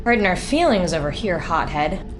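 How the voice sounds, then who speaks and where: An actress playing a sassy, teasing ranger soldier in an audio drama. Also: female voice, lowish voice, very animated, moderate pace, slight background noise.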